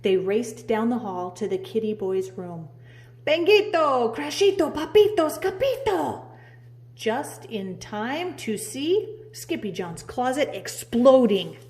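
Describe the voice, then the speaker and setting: Slow, expressive woman reading a storybook. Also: medium pitch. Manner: dramatic, drawing out key words. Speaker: preschool teacher reading aloud. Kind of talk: expressive children's storybook narration.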